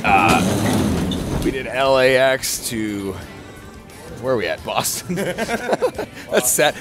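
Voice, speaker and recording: A man's voice: mid-range voice, big pitch swings, very slow, music in the background. A man who is a touring wrestler and a father, chatting in a tired, rambling way at an airport. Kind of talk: vlog-style chat.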